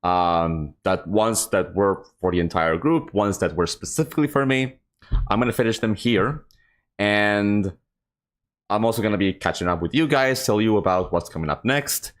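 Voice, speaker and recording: A man's voice: fairly low pitch, moderate pace. A man hosting a casual, improvised livestream, talking in an informal, rambling and slightly scattered way. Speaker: live streamer and host.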